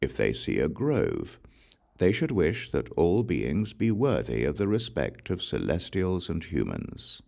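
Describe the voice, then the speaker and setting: A man reads sacred text in a very deep voice, slow and deliberate. His delivery is solemn and formal, yet the pitch moves expressively through each measured phrase, as in a careful audiobook narration. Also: huge pitch swings, gravelly, darkish tone. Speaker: audiobook reader. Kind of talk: scripted reading of Buddhist scripture.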